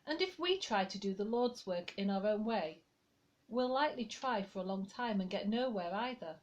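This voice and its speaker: A woman reading a written church reflection aloud in a gentle, conversational way. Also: mid-range voice, lively intonation, moderate pace, muffled recording. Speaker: lay reader.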